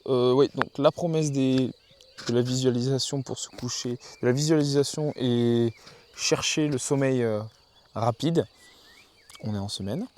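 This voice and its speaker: A man rambling through a casual spoken video diary, stopping and restarting his thoughts as he goes. His voice sits at an ordinary middle pitch and is picked up on a phone outdoors. Faint crickets and insects hum behind him in the countryside. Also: a little slow, darkish tone. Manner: rambling, thinks aloud with frequent restarts. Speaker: video journal keeper.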